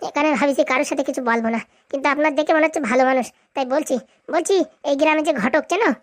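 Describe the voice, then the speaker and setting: A woman's voice: high-pitched, very fast, close to an everyday voice. A woman voicing an earnest, eager visitor out for revenge in a comedy cartoon, speaking colloquially and deferentially. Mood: earnest, confiding. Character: earnest, vengeful visitor seeking evidence.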